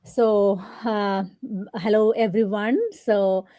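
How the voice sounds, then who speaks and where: A woman hosting a live interview over a video call, friendly and halting with lots of fillers. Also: mid-range voice, flat delivery, warm tone, dry recording. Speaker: host.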